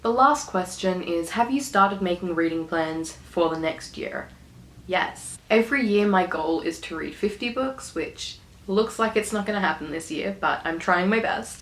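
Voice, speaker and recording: A young woman with an Australian accent talks in a chatty, giggly way, trailing off and laughing between thoughts. Her voice is a little hoarse and worn from being sick. The sound bounces around an echoey room at home. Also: fairly low pitch. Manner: chatty, giggly, rambling with fillers. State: hoarse from recovering illness. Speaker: book vlogger. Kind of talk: casual chat to camera.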